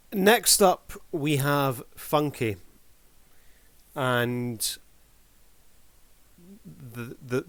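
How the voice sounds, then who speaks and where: A man's voice: medium pitch, a bit bright, no echo. Showman-like man giving casual review commentary. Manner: showman-like, addresses audience formally. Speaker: host reviewing videos.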